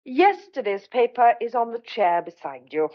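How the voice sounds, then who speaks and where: An actress playing a dutiful but sharp-tongued young country woman in an old-fashioned audio drama, speaking to her menfolk. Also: a little high, sing-song, normal speed. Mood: brisk, homely. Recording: dull, muffled lo-fi audio.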